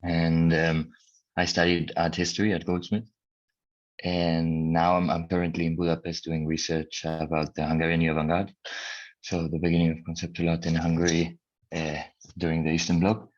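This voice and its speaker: A man who grew up in Spain, a researcher introducing himself calmly and a little haltingly. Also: sits low, lo-fi sound. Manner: calm, slightly halting. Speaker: researcher, seminar participant.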